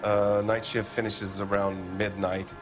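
An American actor playing a defensive, evasive middle-aged factory owner in a video game, with an indignant, blustering manner. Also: male voice, fairly low pitch, little pitch movement, measured pace, music in the background, lightly acted.

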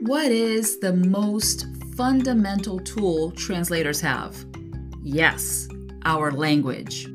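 A woman's voice: lowish voice, slow pace, music in the background. A woman who speaks Portuguese natively, hosting a solo podcast and explaining things with careful enunciation. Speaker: podcast host; translator.

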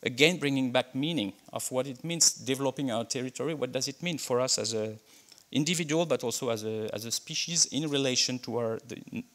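A man's voice: medium pitch, brisk, fairly bright tone. A middle-aged man from France with a French accent, giving a conference keynote at a podium in a lecture hall, speaking hesitantly with many fillers and restarts. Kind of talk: conference talk with slides. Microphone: podium microphone.

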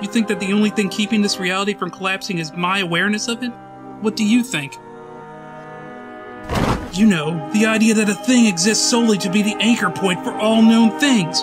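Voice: medium pitch, moderate pace, over music, natural voice. A performer voicing a theatrical, self-important, deranged young man who rants manically in a comic dub. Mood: brooding, sardonic. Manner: theatrical ranting, bursts into shouts. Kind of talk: dramatic comic dub voice acting.

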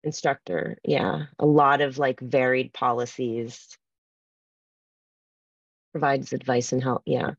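Low-voiced woman presenting, thinking aloud. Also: flat delivery, takes it slowly, creaky, pauses often, husky, no echo. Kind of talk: informal conference workshop talk, reading out poll responses. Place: video call from a room with bookshelves. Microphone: computer mic on video call. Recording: slightly dull video-call audio.

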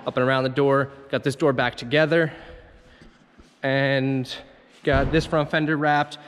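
A man's voice: mid-range voice, flat delivery, unhurried. Man, shop owner, casual vlog, rambling with fillers, handheld camera mic, faint background noise. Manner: loose and chatty, many fillers and restarts. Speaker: auto detailing and wrap shop owner. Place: car shop and lot, in and out of doors.

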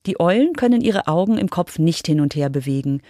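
A woman narrates a children's radio feature from a script. Her voice sits a little low and is recorded very dry, with no room around it. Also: animated, fairly quick, warm tone, no echo. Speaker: reporter narrator.

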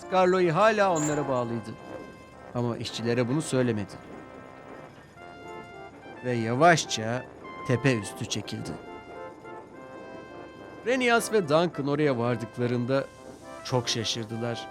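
A man's voice: mid-range voice, expressive, unhurried, pauses often. A man narrating a scripted children's story with dramatic storytelling delivery. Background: music.

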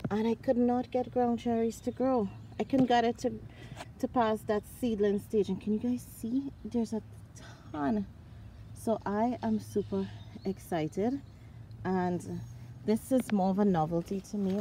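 An excitable woman chats her way around her backyard garden and thinks aloud about what she sees. Her voice sits at an everyday middle pitch. The phone recording picks up a clear outdoor hum behind her. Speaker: gardening vlogger. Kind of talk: casual garden tour walkthrough. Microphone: handheld phone camera. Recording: outdoor ambience with noise.

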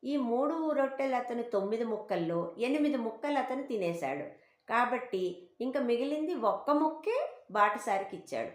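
A woman's voice: fairly high pitch, animated, normal speed, close to an everyday voice. Storybook God, played by a woman, a wise male judge, reasoned and correcting, in an echoing room. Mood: correcting, judicious. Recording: roomy, echoing home recording.

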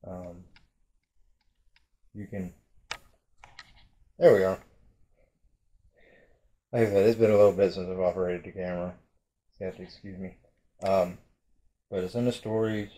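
Middle-aged male vlogger, deep and warm, hesitant and chatty, close camera mic in a bedroom. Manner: hesitant, many fillers and restarts. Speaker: urban exploration vlogger. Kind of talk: casual rambling vlog talk. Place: bedroom at home, indoors. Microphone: webcam or phone at arm's length.

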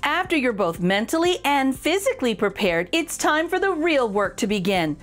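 A woman reading a picture book aloud for children in an expressive, encouraging way, addressing young listeners directly with clear emphasis. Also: high voice, normal speed, faint music behind. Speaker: children's storytime reader.

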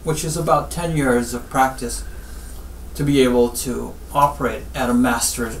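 A middle-aged man from Guyana, a surgeon giving advice in an interview in measured, deliberate short phrases with pauses. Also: medium pitch, fairly even intonation. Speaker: spine surgeon, interview guest. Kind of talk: interview answers, health advice. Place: small office, seated at desk. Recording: roomy, distant mic, faint background noise.

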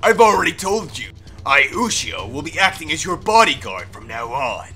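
A man voicing a smug, menacing high-school bully in an anime fandub, with mock politeness. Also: very animated, normal speed, sharp tone, husky, soft background music, full character voice. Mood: smug threatening.

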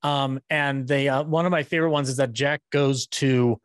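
A man in his thirties chatting casually as a podcaster, rambling and halting as he finds his words. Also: medium pitch, steady tone, no echo, clean studio sound. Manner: rambling, halting, says "like" often. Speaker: podcaster interviewer. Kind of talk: casual fan discussion. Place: small indoor room, video call. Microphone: boom-arm microphone with headset.

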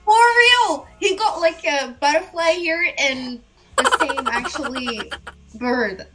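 A young woman from Belgium talks in a slightly high voice, full of gushing exclamations. She is heard through a video call from an indoor room, with faint music underneath. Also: lively intonation, slow, bright, forceful tone, low-quality recording. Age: young adult. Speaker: video chat stranger. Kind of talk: casual video chat.

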